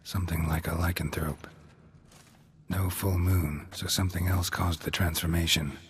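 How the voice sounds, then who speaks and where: An actor playing a gruff, laconic monster hunter in a fantasy video game, gravelly and deadpan, speaking in clipped, understated phrases. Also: male voice, deep voice, normal speed, slight background noise, natural voice. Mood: terse, pondering. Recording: game music behind the voice.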